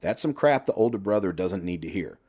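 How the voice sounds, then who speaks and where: Brash, needling middle-aged buddy, played by a man, swinging pitch. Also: lively intonation, brisk, a little mellow. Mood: exasperated. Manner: blunt, emphatic, sweary banter.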